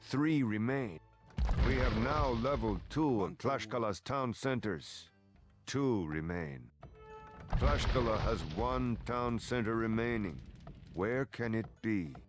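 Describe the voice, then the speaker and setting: A man playing a resolute Aztec warrior in a video game, giving solemn, deliberate battle reports. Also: mid-range voice, lively intonation. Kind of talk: scripted game dialogue. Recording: background music under the voice.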